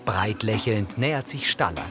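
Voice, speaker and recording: A man reading scripted scene narration for an audio description, in a neutral, measured way. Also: on the low side, lively intonation, over music.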